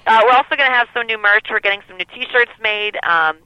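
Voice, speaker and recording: A lead singer with a lower voice talks over a dull, lo-fi phone line. She rambles easily, filling gaps with ums and you-knows. The sound is muffled, and the line is dry with no room echo. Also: fairly quick. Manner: relaxed, rambling, many fillers. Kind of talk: phone interview.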